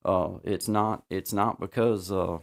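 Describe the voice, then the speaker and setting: Casual livestream host, male, deep and gravelly, rambling delivery. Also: fairly even intonation, a little slow. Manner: rambling, repeats himself, many fillers.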